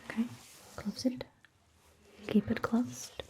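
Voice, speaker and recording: Slow, whispering vampire doctor played by a woman. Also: on the high side, steady tone, voice pushed up. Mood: attentive, soothing. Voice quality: soft, breathy, whispered. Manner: slow, gentle, measured phrasing. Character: calm, attentive female vampire doctor recounting history. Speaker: ASMR performer. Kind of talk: soft-spoken whispered ASMR roleplay, storytelling.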